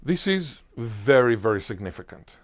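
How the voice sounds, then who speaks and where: A man, a Hebrew speaker, answering as a linguist guest in an interview, emphatic and animated, full of lists and rhetorical questions. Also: medium pitch, normal speed. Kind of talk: enthusiastic explanatory interview answer.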